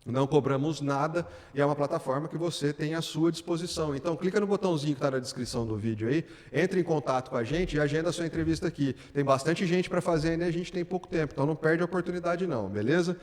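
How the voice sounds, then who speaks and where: A man's voice: on the high side, quick pace, hardly any pauses, darkish tone. A man hosting a local podcast interview, chatty and informal, with filler words and restarts. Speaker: podcast host. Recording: long hall reverb.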